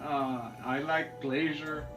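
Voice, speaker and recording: A man's voice: on the high side, fairly even intonation, takes it slowly. A man co-hosting a podcast, chatting casually in slangy, sweary talk with frequent laughter. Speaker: podcast co-host. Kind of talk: casual chat about movies and video games. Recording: distant mic with music behind. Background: music.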